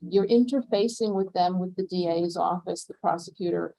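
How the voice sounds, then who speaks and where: A woman moderating a panel in a conference room, summing up her guests' points in a measured way before asking questions. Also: on the low side, creaky, warm tone, poor audio. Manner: measured, sums up what others said.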